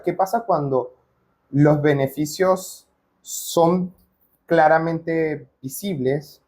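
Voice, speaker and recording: A man's voice: on the high side, darkish tone. A man hosting a casual interview, speaking informally and dropping in English business terms. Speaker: podcast host.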